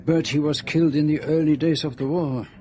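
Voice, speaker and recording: An actor playing a proud, middle-aged Belgian detective in a radio drama, with a French-speaking Belgian accent and a formal, deliberate manner. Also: male voice, on the high side, fairly even intonation, dark tone, close to an everyday voice. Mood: intent. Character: precise, proud Belgian detective, brooding then urgent.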